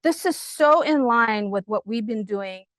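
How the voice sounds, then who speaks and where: Middle-aged woman guest speaker, loud voice, chatty and excited, highish pitch, dry close sound. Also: measured pace, dry recording. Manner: warm, enthusiastic and conversational, with many fillers. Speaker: guest speaker at an academic colloquium. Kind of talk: lively academic talk to an audience.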